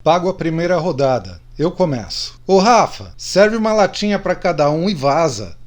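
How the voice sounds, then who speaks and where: A man acting animated higher-voiced middle-aged storyteller. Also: normal speed. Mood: eager. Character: middle-aged man confessing secrets to old drinking friends. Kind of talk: radio drama acting.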